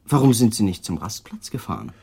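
An actor in a German crime radio drama playing a suspicious police detective who questions with pointed, relentless courtesy. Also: male voice, fairly low pitch, animated, brisk, warm tone, breathy edge. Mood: probing. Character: probing, suspicious male police detective, polite but relentless.

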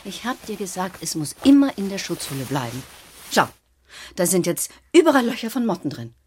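A woman's voice: fairly low pitch, big pitch swings, fairly quick, a little mellow, slight background noise. An actress playing an exasperated, scolding, practical mother in a German children's radio play.

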